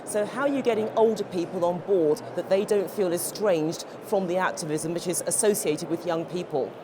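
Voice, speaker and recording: A woman's voice: lowish voice, normal speed. A middle-aged woman presenting a live interview in an articulate, polished broadcast manner. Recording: clear outdoor-like traffic noise behind.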